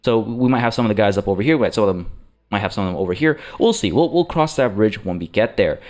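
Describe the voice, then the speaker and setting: Rambling low-voiced gaming host. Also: male voice, expressive, fast. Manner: rambling, many fillers and restarts. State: slightly under the weather. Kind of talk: let's play commentary.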